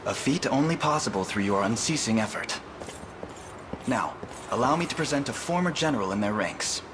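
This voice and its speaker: A man's voice: mid-range voice, lively intonation, moderate pace, background noise, natural voice. A man playing an earnest, formal young prince leading a rebellion in a video game. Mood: noble, resolute. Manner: formal, noble.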